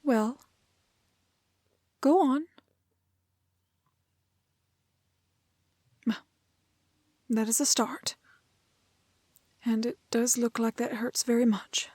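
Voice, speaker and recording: A woman playing a prim, plucky, kind-hearted young woman in a fairy-tale roleplay, with soft voice and proper, old-fashioned phrasing. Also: on the high side, slow, long pauses, slightly rough, no echo, clean studio sound. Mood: miffed, teasing. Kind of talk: romantic fantasy roleplay audio acting.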